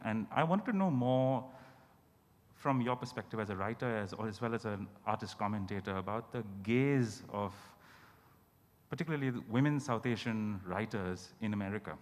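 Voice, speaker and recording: A man putting unscripted questions to panelists, polite and wordy, searching for his phrasing as he goes. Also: fairly low pitch. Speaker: questioner at a literary event. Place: large indoor event hall at a hotel. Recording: echoey event hall, long reverb.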